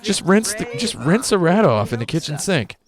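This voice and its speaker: A man in his late thirties co-hosting a game stream, spinning absurd jokes in a deadpan way over casual chat. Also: high-pitched, normal speed. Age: 30s. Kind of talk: casual joking chat while playing. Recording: music playing behind.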